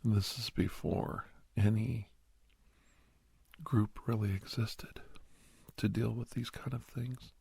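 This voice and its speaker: A man acting as a middle-aged doctor in an ASMR roleplay, with a low, very breathy and husky voice. He tells his memories softly, often sinking into a whisper, with earnest, halting pauses. The sound is close and very dry. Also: fairly even intonation, no echo, exaggerated voice. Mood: quiet, confiding. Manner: halting, hushed, dramatic pauses. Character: middle-aged male doctor, earnest, sentimental, reminiscing to a youngster. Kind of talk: ASMR roleplay storytelling, soft-spoken and half-whispered. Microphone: close, dry microphone.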